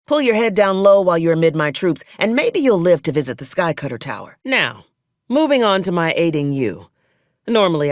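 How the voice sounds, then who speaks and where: Wry, ruthless officer, swooping intonation. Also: female voice, low-pitched, dry recording, clean studio sound. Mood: brisk, businesslike. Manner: clipped, folksy archaic military speech, sardonic and menacing. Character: ruthless, wry female military officer, cold pragmatic commander. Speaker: acquisitions officer character in a video game. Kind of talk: scripted video game character dialogue.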